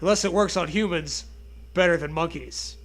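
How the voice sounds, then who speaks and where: A man's voice: fairly high pitch, normal speed, a little hiss or hum, lightly acted. A man voicing a boy genius cartoon scientist in an exaggerated, mangled accent with stilted, declamatory delivery. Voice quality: forced, exaggerated put-on accent.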